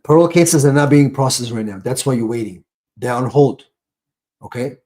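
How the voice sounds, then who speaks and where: A man's voice: mid-range voice, normal speed, dark tone. A man speaking off the cuff as an attorney hosting a live question-and-answer stream, emphatic and prone to repeating key phrases.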